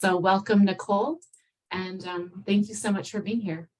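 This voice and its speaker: Female symposium host reading a prepared introduction with small slips; a little off-mic, mid pitch. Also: fairly even intonation, moderate pace, darkish tone, recorded from a distance.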